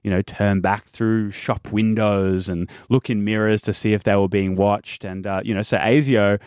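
A man with a lowish voice talks through his investigations in a loose, chatty way, doubling up words as he goes. The sound is close and dry, with no room around it. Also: little pitch movement, normal speed, darkish tone, smooth, no echo. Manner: chatty, restarts words, laughs between points. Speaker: guest investigative journalist. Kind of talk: casual podcast chat.

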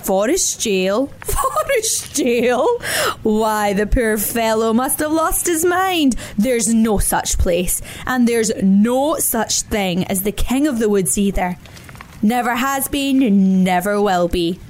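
A woman voicing a huge, grumpy old male bear who talks slowly in a low, booming way. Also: animated, darkish tone, slight background noise. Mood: amused. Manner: slow, grumbling, then laughing.

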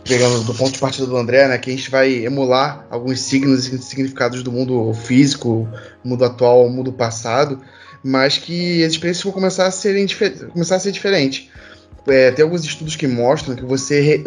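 A man with a Brazilian accent speaking casually in a panel discussion, thinking aloud in a discursive way and explaining through everyday examples. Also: mid-range voice. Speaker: panel participant.

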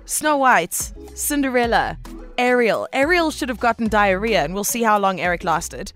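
A woman's voice: mid-range voice, expressive, normal speed, a bit bright, faint music behind. A woman hosting a radio talk show, speaking in an informal, slangy and emphatic conversational way. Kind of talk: conversational radio commentary with listener voice notes.

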